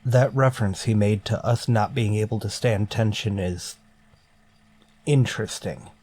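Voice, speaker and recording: An actor playing a stern, weary starship captain in a sci-fi radio drama, clipped and authoritative. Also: male voice, fairly low pitch, measured pace, darkish tone, no echo. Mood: dry, controlled.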